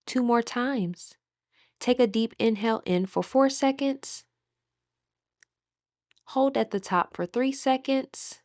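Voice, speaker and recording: A woman guiding a meditation in a soothing, deliberate manner with gentle pauses. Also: fairly high pitch, no room sound, clean studio sound. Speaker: meditation guide. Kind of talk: guided meditation narration.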